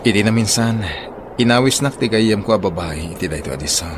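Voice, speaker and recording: An actor playing an earnest, devoted young suitor in an Ilocano radio drama, with an Ilocano accent. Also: male voice, lowish voice, animated, a little fast, noisy background, natural voice. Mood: probing, tender. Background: background music.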